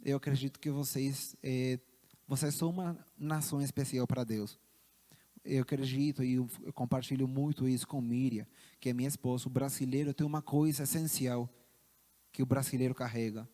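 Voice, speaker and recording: A man from Chile with a Chilean accent, a missionary giving an earnest church address, often halting and repeating words. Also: medium pitch, fairly even intonation, mellow. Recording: long hall reverb.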